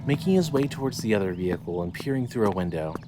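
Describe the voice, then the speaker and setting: A man reading scripted dramatic fiction as narrator, in a measured, descriptive storytelling manner. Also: lowish voice, lively intonation, loud music behind the voice.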